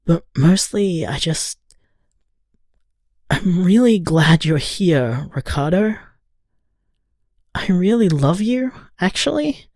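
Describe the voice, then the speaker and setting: Guy playing a caring friend leaving a reassuring voicemail, slow n halting, sincere, audio drama. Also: fairly high pitch, darkish tone, no room sound, clean studio sound.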